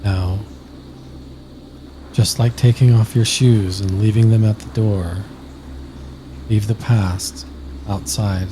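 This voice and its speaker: A man narrating a scripted guided sleep meditation slowly and soothingly, with long pauses. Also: on the low side, steady tone, background noise.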